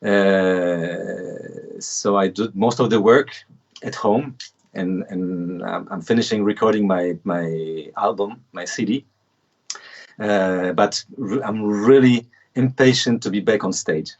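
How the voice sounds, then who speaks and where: A man with a Polish accent, guesting on a casual livestream interview from home, speaking haltingly with frequent restarts. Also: lowish voice, highs cut off. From Poland. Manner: halting, many restarts and fillers. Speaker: guest musician.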